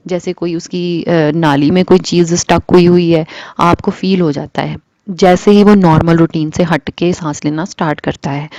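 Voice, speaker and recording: A woman's voice: lowish voice, warm tone, dry recording. A woman presenting baby-care advice to parents, explaining patiently step by step and mixing in English phrases. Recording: dull lo-fi dry sound.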